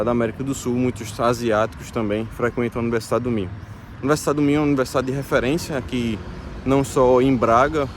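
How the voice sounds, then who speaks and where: A man from Brazil in his thirties talks with a Brazilian Portuguese accent, in a mid-pitched voice. He speaks to his viewers in a relaxed, hesitant way, often stopping and restarting. Faint street and car noise sits behind him outdoors. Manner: hesitant, many fillers and restarts. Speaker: vlogger. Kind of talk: casual explanatory talk to viewers.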